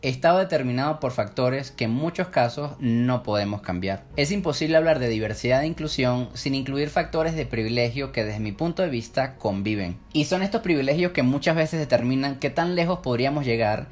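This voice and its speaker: A man from Venezuela with a Venezuelan accent, hosting his own podcast in a measured solo monologue, slowing down when he poses questions to listeners. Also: medium pitch, lively intonation, darkish tone. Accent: Venezuelan Spanish. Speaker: podcast host.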